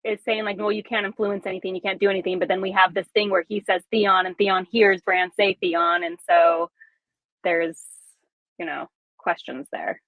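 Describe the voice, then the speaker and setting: A woman's voice: medium pitch, steady tone, moderate pace, no echo. Woman host on thin, phone-like audio. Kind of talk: casual fan-theory discussion.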